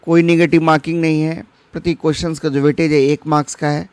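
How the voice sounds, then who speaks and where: A man's voice: fairly high pitch, level tone, fast, darkish tone, clear, resonant tone, no room sound. A man presenting an informational explainer, instructing viewers directly and mixing English terms into his speech. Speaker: exam-preparation channel host. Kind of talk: informational explainer for exam candidates.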